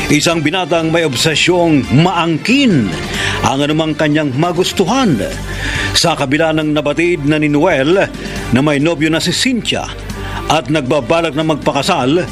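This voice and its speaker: Male radio announcer, slightly high voice, dramatic, music underneath. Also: normal speed. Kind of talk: broadcast announcing and narration.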